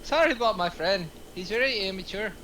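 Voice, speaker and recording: A man's voice: sits high, expressive, brisk, sharp tone, a little hiss or hum. A man playing an anxious, foul-mouthed man on a stakeout in a script reading, with an urgent, exasperated manner. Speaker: actor at a table reading.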